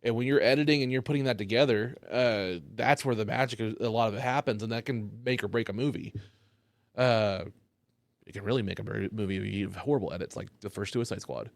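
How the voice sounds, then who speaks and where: A man, a stand-up comedian, chatting loosely on a podcast while high, rambling and cursing often. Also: fairly quick, vocal fry, dry recording. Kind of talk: casual podcast chat.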